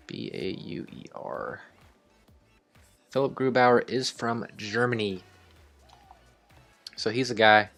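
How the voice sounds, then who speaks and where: Low-voiced man rambling through game commentary. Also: creaky. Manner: rambling, thinking aloud, offhand swearing. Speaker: host of a video game series. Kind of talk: casual improvised gameplay commentary.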